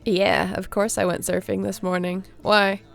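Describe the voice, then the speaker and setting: A woman playing a friendly, easygoing young surfer girl in an audio roleplay, chatting casually and welcomingly with a creaky voice and laid-back slang. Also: mid-range voice, level tone, unhurried, faint music behind. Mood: cheerful, welcoming.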